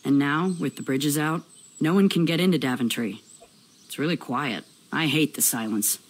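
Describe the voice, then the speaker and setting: Blunt, gruff tradeswoman. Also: low voice, normal speed. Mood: weary, friendly. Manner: blunt, folksy. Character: gruff, plain-spoken adult tradeswoman, brash and money-minded. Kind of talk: video game character acting.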